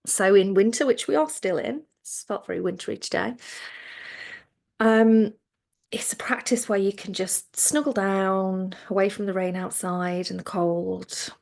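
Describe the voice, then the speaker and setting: A woman leads a guided meditation at a very unhurried pace. Her mid-pitched voice has a strong creak to it. She gives gentle, soothing instructions, letting the ends of phrases soften and fade. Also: steady tone. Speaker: yoga nidra relaxation teacher.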